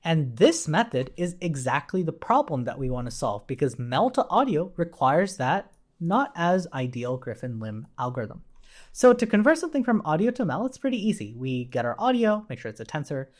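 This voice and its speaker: A man's voice: on the high side, big pitch swings, moderate pace. A man giving an informal technical code walkthrough as a tutorial instructor, conversational and often checking in as he explains. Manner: conversational, often tags points with "right?".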